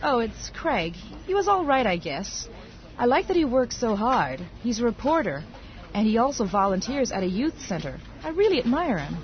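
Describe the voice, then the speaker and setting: Woman doing a chatty gal, fairly high and lively, dull lo-fi sound. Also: normal speed. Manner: conversational, expressive. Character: woman talking over her dating life. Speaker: dialogue actor. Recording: dull lo-fi audio.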